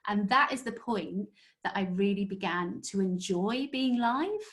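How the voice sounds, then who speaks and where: A woman guest telling stories in a casual interview, animated and full of fillers. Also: medium pitch, measured pace. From northeast England. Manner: animated storyteller, many fillers. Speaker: guest, story strategist.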